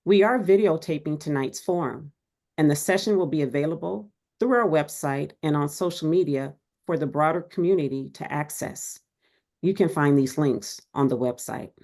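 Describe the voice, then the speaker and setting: Woman, moderator, deep voice, vocal fry, formal scripted reading. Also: darkish tone. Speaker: forum moderator. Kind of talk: reading forum rules and introductions.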